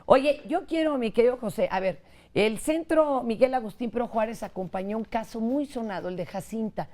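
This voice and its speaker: A woman hosts a televised talk show, speaking in a slightly high voice. She is chatty and jumps in with quick quips and interjections. She sounds like she is in a studio. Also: darkish tone. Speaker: host. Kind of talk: casual chat.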